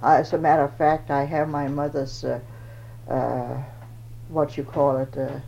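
A woman's voice: low voice, flat delivery, unhurried, creaky, warm tone. An older woman from Denmark, recalling her family in an oral history interview and searching for words as she goes. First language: Danish. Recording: dull old tape with faint noise.

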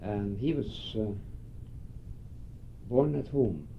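Old norwegian guy, creaky low voice, talks real slow, dull old tape with a faint rumble. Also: lively intonation. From Norway. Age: older. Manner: slow, halting, with frequent pauses and throat clearing. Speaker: oral history interviewee. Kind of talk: reminiscing in a recorded interview.

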